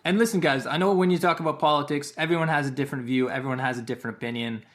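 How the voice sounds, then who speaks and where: A Canadian man presenting an opinion video in a wry, measured way, with dry jokes. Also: on the high side, steady tone, fairly bright tone.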